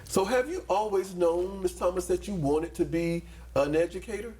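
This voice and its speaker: A man's voice: sits high, normal speed, warm tone, slight background noise. A man hosting a school interview show, upbeat and complimentary as he introduces guests and asks questions. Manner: upbeat, complimentary, repeats "awesome". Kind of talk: studio interview.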